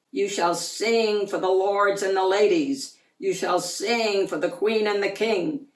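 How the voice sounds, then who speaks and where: Woman playing a sly, slow-talking circus owner. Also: medium pitch, natural voice. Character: sly, boastful, greedy male circus owner. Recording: roomy echo, distant microphone.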